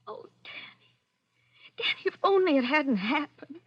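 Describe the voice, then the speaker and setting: High-voiced, anxious noir sweetheart. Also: female voice, expressive, unhurried. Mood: fearful pleading. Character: anxious, devoted noir girlfriend, bitter and impulsive nurse. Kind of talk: 1940s radio thriller melodrama. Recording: muffled, band-limited vintage radio recording.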